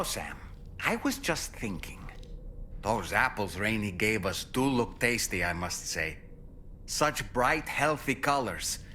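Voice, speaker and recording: An actor playing a chatty, polite, bookish companion in a video game, musing in formal, fussy, articulate phrases. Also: male voice, medium pitch, sharp tone. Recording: faint noise behind the voice.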